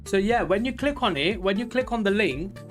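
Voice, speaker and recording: A man of forty talking casually and candidly on a live trading stream, rambling with many pauses. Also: sits high, expressive, over loud music. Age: 40s. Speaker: livestream day trader.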